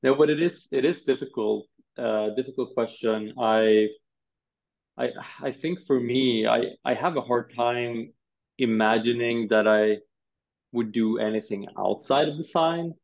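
A Swedish man in his thirties, a designer answering interview questions in a thoughtful, halting way, often repeating words. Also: medium pitch, gravelly, muffled recording. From Sweden.

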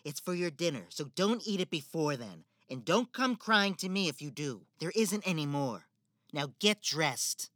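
A woman's voice: sits low, big pitch swings, moderate pace, fairly bright tone, no room sound, studio quality, full character voice. A woman playing a cold, manipulative stepmother in a spoken fairy-tale drama. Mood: bossy. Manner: manipulative, icy, theatrical.

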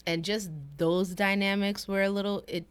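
A woman's voice: mid-range voice, little pitch movement, normal speed, clean tone. A young American woman co-hosting a podcast, talking casually and reflectively with many fillers. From United States. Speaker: podcast co-host. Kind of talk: casual conversation.

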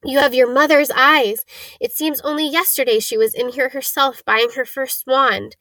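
A woman voicing an eerie, keenly knowing old male wandmaker from a storybook, soft-voiced and deliberate, with excitable exclamations. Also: sits high, no echo, voice pushed up, low-quality recording. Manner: deliberate, clipped listing of details, exclamatory greetings. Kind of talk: storybook character reading.

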